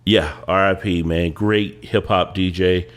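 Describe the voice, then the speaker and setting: Deep-voiced guy, stuffed up from a cold, laid-back podcast chat with lots of uhs. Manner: relaxed, drawling, with many "uh" pauses. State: congested from a cold. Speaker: podcast co-host. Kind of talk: casual chat.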